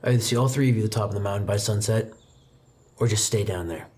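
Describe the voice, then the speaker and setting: Stern, deadpan sword master played by a man. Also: lowish voice, fast talker, a little hiss or hum, voice pushed down, close to an everyday voice. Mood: blunt, deadpan. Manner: deadpan, unamused, lecturing. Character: stern, dour male demon slayer master and mentor.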